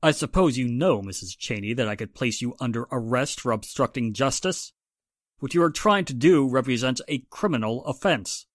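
An actor playing a stern police inspector questioning suspects pointedly, in 1940s-style radio drama. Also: male voice, mid-range voice, animated, no echo, clean studio sound, lightly acted. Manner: pointed, deliberate interrogation.

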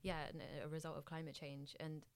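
Host, woman from Bangladesh; very low pitch, hesitant casual phrasing. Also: little pitch movement, brisk, smooth. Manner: hesitant, frequent "like" and "um". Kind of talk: casual conversation.

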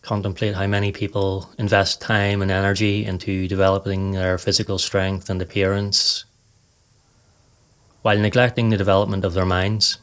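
A man narrating a scripted guided meditation in a calm, measured, soothing way. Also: sits low.

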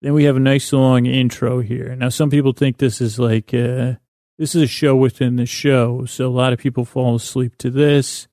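A man with a gravelly, creaky voice, recorded close and dry. He hosts a sleep podcast in a meandering, halting monologue. His delivery is lulling and self-deprecating, with a narrow pitch range. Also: flat delivery, warm tone, no room sound. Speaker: podcast host. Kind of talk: rambling bedtime-story podcast monologue.

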